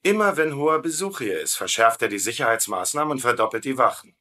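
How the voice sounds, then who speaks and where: A captive sidekick in a sitcom. He is dry and sensible. The voice is mid-pitched, bright and forward. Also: expressive, quick pace. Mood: dry, sceptical. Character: sensible, dry prisoner of war, sceptical sidekick. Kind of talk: dubbed sitcom banter.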